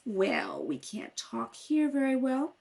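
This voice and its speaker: Casting: scheming socialite played by a woman, hushed and controlled, pitched well down, insinuating, old radio comedy. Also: wildly swooping pitch, slow, vocal fry, heavily acted. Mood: sly, seductive.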